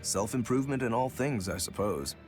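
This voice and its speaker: An actor playing a laid-back, dryly wry adventurer in a video game. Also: male voice, mid-range voice, animated, brisk, darkish tone, lightly acted. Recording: music playing behind the voice.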